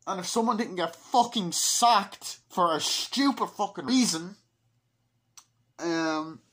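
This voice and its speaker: A man in his twenties giving a rambling, informal, sweary movie review, excitable and breaking into acted-out snatches of dialogue. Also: high-pitched, slow pace, slightly rough. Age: 20s. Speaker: amateur film reviewer. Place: small wood-panelled room indoors. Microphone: laptop microphone at close range.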